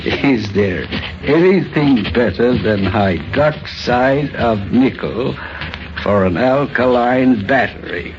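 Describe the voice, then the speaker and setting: An actor playing a sceptical, commanding scientist and inventor in an old-time radio drama, speaking with emphatic demands. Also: male voice, medium pitch, lively intonation, takes it slowly, background noise. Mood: musing. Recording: dull old radio recording, music behind.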